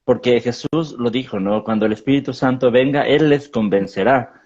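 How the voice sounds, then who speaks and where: A man, a pastor, explaining theology in a measured way in a panel discussion, often repeating words as he thinks. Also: medium pitch, darkish tone, lo-fi sound.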